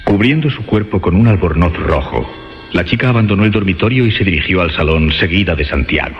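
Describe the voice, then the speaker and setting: Deep, expressive Spanish suspense narrator. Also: male voice. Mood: ominous. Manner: measured, ominous storyteller. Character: grave male narrator of a suspense tale. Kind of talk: dramatic scripted narration, suspense radio play. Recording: reverberant, dull radio sound with music.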